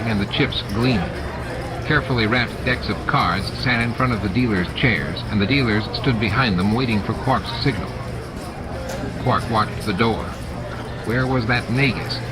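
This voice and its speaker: A man's voice: mid-range voice, very noisy. A man reading a novel aloud as a dramatic, scripted audiobook narration. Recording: music and sound effects behind. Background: music, added sound effects.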